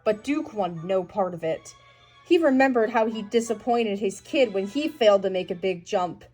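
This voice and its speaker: A woman's voice: medium pitch, expressive, moderate pace, darkish tone. A woman reading a children's story aloud in a scripted narration style. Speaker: storybook reader. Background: music.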